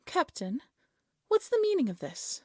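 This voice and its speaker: A woman playing a haughty, cruel evil queen in a fairy-tale audio drama, speaking in an imperious, condescending way with a breathy voice. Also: high voice, huge pitch swings, unhurried, no echo, clean studio sound, exaggerated voice. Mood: commanding, haughty. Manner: imperious, scolding, condescending, theatrical.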